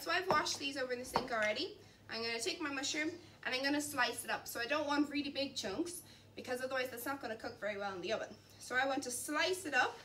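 A young woman in her twenties giving a chatty, encouraging cooking demonstration while she chops and mixes in a large kitchen. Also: high voice. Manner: chatty and encouraging, talks while chopping and mixing. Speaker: cooking instructor. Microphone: camera at a distance. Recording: distant mic, faint background noise.

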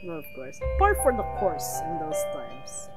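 Wry, lively woman streaming a game. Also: sits low, a little slow, loud music behind the voice. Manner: sardonic, wry. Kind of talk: casual live game commentary.

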